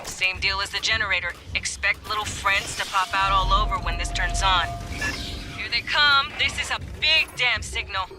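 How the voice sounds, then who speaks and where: Man playing a brash high-pitched marine sergeant. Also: normal speed, over music, close to an everyday voice. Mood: urgent, excited. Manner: casual, sardonic, profane military talk. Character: brash, gruff male marine staff sergeant leading a squad. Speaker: squad leader. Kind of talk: video game voice acting, radio briefing.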